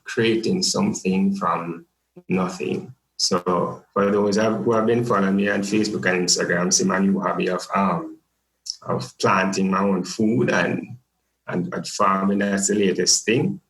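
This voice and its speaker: Guest: young Jamaican man, laid-back and joking, low gravelly voice in an echoey room. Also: steady tone, measured pace, a bit bright. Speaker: podcast guest. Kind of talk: casual interview chat.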